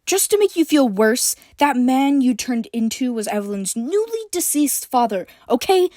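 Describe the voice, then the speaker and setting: A woman's voice: on the high side, normal speed, dry recording, clean studio sound, lightly acted. A woman voicing a fierce, protective mother who snaps and growls her lines. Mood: angry. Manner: sharp, snapping, loud.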